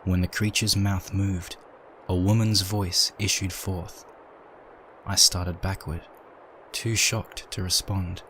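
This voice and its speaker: A man reading a dark story aloud in a measured, dramatic narration. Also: deep voice, flat delivery, slight background noise.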